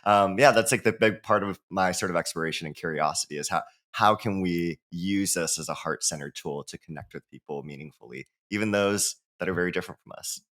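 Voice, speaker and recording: A low-pitched man from Hawaii talks with a bright, forward edge to his voice. He is a guest author in a relaxed interview. His delivery is animated and full of "you know"s, and he breaks into laughter now and then. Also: a little fast, few pauses. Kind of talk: casual interview talk.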